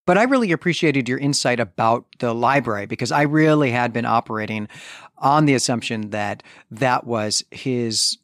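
A male podcast host talks through a literary recap in a conversational way. His delivery is thoughtful and halting, with phrases reworked as he goes. The voice sits at a middling pitch in a very dry, close space. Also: fairly bright tone, no room sound.

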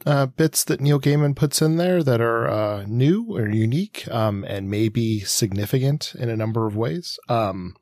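A man co-hosting a podcast, discussing books casually in hesitant, halting phrases. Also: mid-range voice, darkish tone, no room sound. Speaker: podcast co-host.

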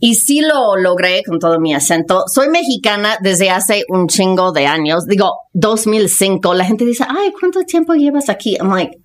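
A woman's voice: medium pitch, animated, moderate pace, few pauses. A woman in her fifties from the United States, a podcast guest, speaks Spanish with an American accent. She talks frankly in casual slang and drops English words into her speech. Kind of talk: casual conversational storytelling.

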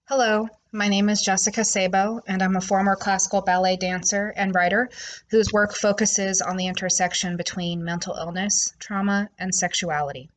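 A woman poet introducing herself and then reading her own dark poem in a slow, deliberate way. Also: medium pitch, fairly even intonation, a little hiss or hum. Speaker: poet and writer.

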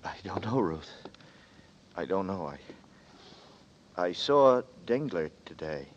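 An actor playing a weary, guarded fugitive in a 1940s noir radio drama, speaking in a halting, understated way. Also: male voice, low-pitched, expressive, a little hiss or hum. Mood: uneasy. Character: weary, guarded man on the run, feeling his way. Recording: muffled 1940s radio recording.

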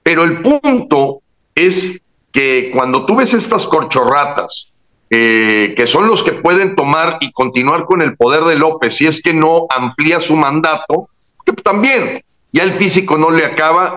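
A man's voice: a little high, animated, normal speed, pushed and bright. A man from Mexico, a guest giving indignant political commentary in casual chat, often restarting his phrases. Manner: emphatic, indignant, with frequent restarts.

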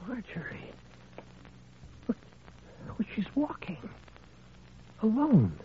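A man's voice: sits high, huge pitch swings, slow, exaggerated voice. An actor playing an anxious, devoted young man in an old radio drama, earnest and breathless. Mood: awed joy. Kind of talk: 1940s-50s radio drama acting. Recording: muffled, noisy old radio recording.

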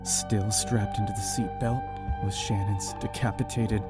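Actor as grim low-voiced apocalypse survivor over music. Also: male voice, fairly even intonation, unhurried, natural voice. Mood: grim, stunned. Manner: grim, profane, anguished. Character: panicked, grieving young man, survivor of a zombie apocalypse. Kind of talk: horror audio drama narration and acting.

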